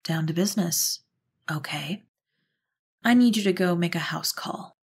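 A woman acts out a persistent witch for an audio drama, with a lowish, raspy voice. She is earnest and persuasive, making her case in measured phrases. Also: exaggerated voice. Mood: businesslike. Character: earnest, persistent female witch, pleading go-between. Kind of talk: audio roleplay drama acting.

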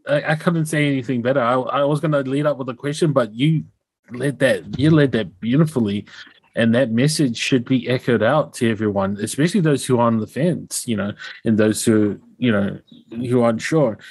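A man's voice: medium pitch, moderate pace, darkish tone, slightly rough, no room sound. Effusive young man hosting, dry sound. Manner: effusive, enthusiastic. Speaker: podcast host. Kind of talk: casual interview chat. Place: home room, indoors. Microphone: headset on a video call.

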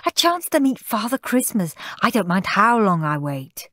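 A woman's voice: mid-range voice, big pitch swings, normal speed, a bit bright, no echo, clean studio sound, voice pushed up. A woman voicing a prim, polite, goody-goody little boy in a children's storybook. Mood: sweetly eager.

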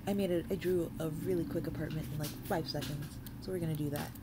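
A woman's voice: sits low, fairly even intonation, fast talker, very noisy. A woman giving a casual screen-recorded coding tutorial, informal and thinking aloud as she works. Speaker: tutorial host, game developer.

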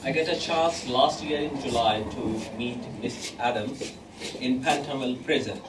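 A man's voice: mid-range voice, unhurried. Non-native man from Pakistan, earnest speech, echoey gallery, far camera. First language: not English. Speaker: former detainee speaking at an event. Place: crowded small art gallery, indoors. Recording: roomy, distant, loud crowd noise.

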